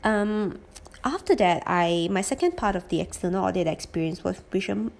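A woman in her late twenties telling how her auditing career went, in a thoughtful, casual way with lots of pauses and fillers. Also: mid-range voice, expressive, a little mellow. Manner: thoughtful, with frequent um fillers and restarts. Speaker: former auditor sharing her career experience. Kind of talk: casual reflective monologue.

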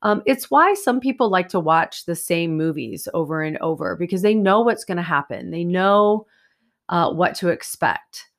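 A woman's voice: fairly low pitch, lively intonation, moderate pace. Stuffy-nosed woman hosting a podcast. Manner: chatty, rambling, many fillers. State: stuffy, nasal from a sinus infection. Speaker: podcast host. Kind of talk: casual solo podcast. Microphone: new USB microphone.

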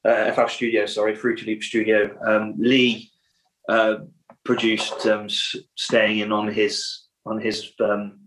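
A man with a lowish voice talks about his band's music in a relaxed podcast chat. He rambles, restarts and fills gaps with ums. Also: unhurried, rough recording. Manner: rambling, self-correcting, many ums. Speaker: band member. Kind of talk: casual podcast interview.

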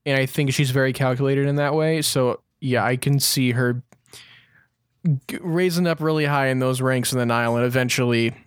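Male podcast co-host, average pitch, relaxed chat format, very little room sound. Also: normal speed, smooth, dry recording, clean studio sound.

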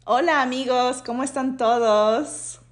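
A woman's voice: high voice, steady tone, moderate pace, reverberant. A woman from Peru co-hosting a podcast, chatting casually with many fillers and slipping Spanish into her English. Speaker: podcast co-host. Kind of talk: casual bilingual chat.

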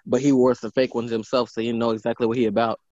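A man's voice: mid-range voice, flat delivery, a little mellow, no echo. A man talking casually and rambling about plans for a show. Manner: rapid, rambling casual talk. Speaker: co-host, show creator. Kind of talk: casual chat. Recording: dull lo-fi sound.

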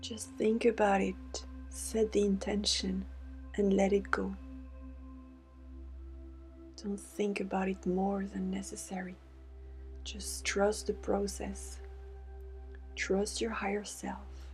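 A woman guides a meditation in a soothing, deliberate way, with breathy half-whispered phrases. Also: mid-range voice, level tone, darkish tone. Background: meditation music with singing bowl tones.

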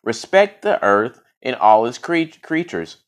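A man reading aloud and voicing a mighty male forester god who booms out stern commands. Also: very animated, a little slow. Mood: decreeing. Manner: booming, decreeing.

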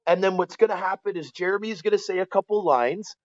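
A man in his forties with a Canadian accent, telling stories casually as a podcast guest, colloquial and animated, often checking that the listener follows. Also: high voice, quick pace, no echo, lo-fi sound. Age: 40s. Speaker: former pro hockey player, interview guest.

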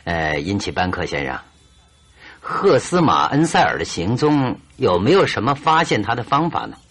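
An actor playing a shrewd, confident detective in a radio drama, speaking deliberately and with command. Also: male voice, lowish voice, very animated, a bit bright, husky, heavily acted. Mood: probing, assured. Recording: radio drama with music underneath.

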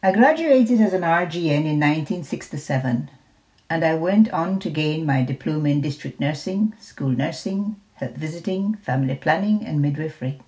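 A woman, a retired nurse reading her own memoir in a measured, deliberate way. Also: low-pitched, lively intonation, dark tone, low-quality recording. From Jamaica. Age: 70s.

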